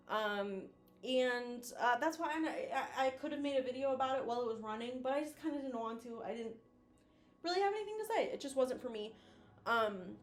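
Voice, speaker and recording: A woman's voice: fairly high pitch, reverberant. A woman giving casual, slangy and effusive video commentary, like an online host chatting to viewers.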